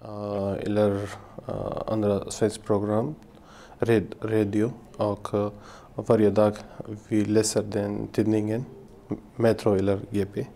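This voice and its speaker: A man from Pakistan who is an adult language student, giving slow, halting answers with many fillers. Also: on the low side, flat delivery, mellow, slight background noise.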